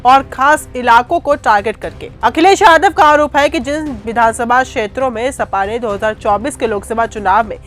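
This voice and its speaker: A woman's voice: a little high, moderate pace, few pauses, a bit bright, faint music behind. A woman delivering scripted political news narration as a voice-over.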